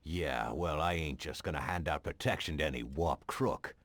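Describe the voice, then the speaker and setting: An actor playing a blunt, sceptical police detective in a video game, with an American accent and a tough, sardonic manner. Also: male voice, a bass voice, moderate pace, a bit bright, no echo, heavily acted. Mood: dismissive. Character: gruff, sceptical hard-nosed male police detective.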